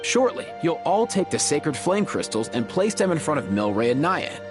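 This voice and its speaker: Easygoing young organiser, lively pitch. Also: male voice, normal speed, over music. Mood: relaxed, explaining. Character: easygoing, organised young man running the plan. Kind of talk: video game voice acting.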